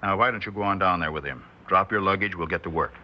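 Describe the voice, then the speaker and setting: An actor playing a calm, affable senior male scientist in a 1960s radio drama, measured and explanatory. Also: sits low, over loud music, lightly acted. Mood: calm, businesslike. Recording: muffled 1960s radio recording.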